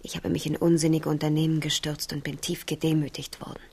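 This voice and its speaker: Stolze junge Königin, eher tiefe Stimme. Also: female voice, low voice, little pitch movement, fast, vocal fry, darkish tone, slightly rough, exaggerated voice. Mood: tender, confessional. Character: proud, melancholy young queen, tender toward her husband. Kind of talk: radio drama acting.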